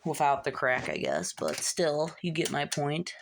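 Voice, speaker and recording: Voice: medium pitch, sing-song, a little slow, vocal fry, noisy background. A hobbyist narrating a hands-on repair casually and informally, muttering asides while working. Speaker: hobbyist repairer showing a fix.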